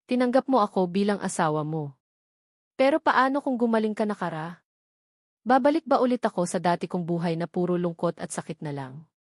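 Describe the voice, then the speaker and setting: A woman's voice: on the low side, animated, brisk, darkish tone, dry recording, studio quality. A woman voicing an anxious, lovesick husband in an audio romance novel, pleading earnestly and sorrowfully with his wife. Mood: sad, bitter.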